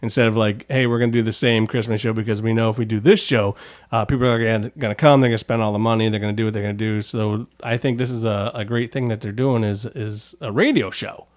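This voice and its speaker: A man's voice: on the low side, fairly even intonation, fast talker. A man hosting a podcast in casual chat, reacting with surprise and teasing his guests as he asks questions. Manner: exclaims in surprise and banters teasingly. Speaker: podcast host.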